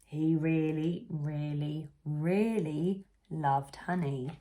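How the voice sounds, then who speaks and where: A woman reading a picture book aloud for young children in an animated, unhurried way, putting questions to the listener. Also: low-pitched. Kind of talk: expressive storybook read-aloud for young children.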